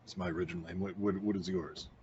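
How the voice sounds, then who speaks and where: A man as patient questioning vampire, tabletop game, lowish voice, slightly muffled mic, faint noise. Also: little pitch movement, fast, darkish tone, close to an everyday voice. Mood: probing. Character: patient, probing male vampire from Hungary.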